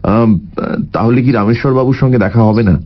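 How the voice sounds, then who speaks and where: An actor playing a polite, probing private detective in an audio story, with courteous, measured questions. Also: male voice, medium pitch, highs cut off, natural voice.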